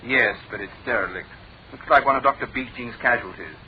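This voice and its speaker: A fast-talking, unflappable British detective played by a male actor takes charge in a radio drama. He questions briskly and with authority. The sound is narrow and tinny, like an old broadcast, with some babble behind. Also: mid-range voice, crowd noise, close to an everyday voice. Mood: brisk, composed. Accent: British English. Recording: tinny, band-limited old radio recording, background babble.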